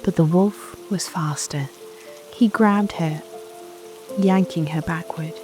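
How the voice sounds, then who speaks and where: A woman narrating a children's bedtime fairy tale in a gentle scripted storytelling style. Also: on the low side, takes it slowly. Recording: soft lullaby music and rain behind.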